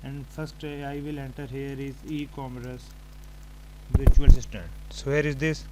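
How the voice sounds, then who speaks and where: A man presenting an unscripted step-by-step tutorial, haltingly thinking aloud as he types. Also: mid-range voice, flat delivery. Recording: home recording with clear background noise.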